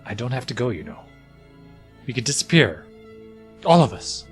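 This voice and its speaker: A man's voice: mid-range voice, sing-song, moderate pace, soft background music, close to an everyday voice. An actor playing a weary, frightened husband and father in an audio drama, pleading and defensive. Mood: resigned, desperate.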